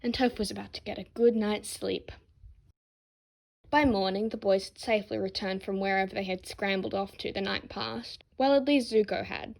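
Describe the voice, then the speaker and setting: A woman's voice: mid-range voice, moderate pace, vocal fry, rough recording. A woman narrating a scripted fan story expressively, with wry, dramatic emphasis as an amateur reader.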